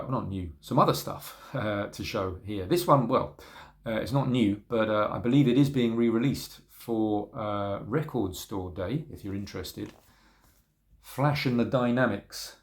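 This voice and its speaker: Male record collector, lowish voice, laid-back and rambling, peppered with ums. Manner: relaxed, rambling, many ums and trailing-off asides. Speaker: record collector showing vinyl. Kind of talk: casual spoken video review.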